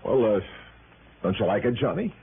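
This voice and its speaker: Animated, earnest radio-drama pal. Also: male voice, lowish voice, normal speed, creaky, a little hiss or hum. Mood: puzzled, hurt. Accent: American. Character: eager, baffled male pal bringing a gift. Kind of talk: 1960s radio drama acting. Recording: muffled narrow old radio broadcast.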